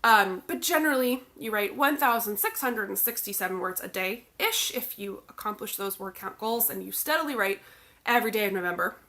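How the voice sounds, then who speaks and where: A woman's voice: medium pitch, expressive, a little fast, sharp tone. A young woman author, congested from the flu, chatting casually to camera with lots of fillers. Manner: chatty, bubbly, many "like" and "um" fillers. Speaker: author and video blogger.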